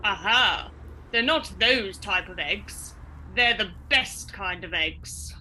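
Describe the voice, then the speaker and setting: A woman's voice: mid-range voice, animated, takes it slowly, very noisy. A woman playing a genial, gullible and pompous Tsar in a comic radio drama, declaiming grandly. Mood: jovial.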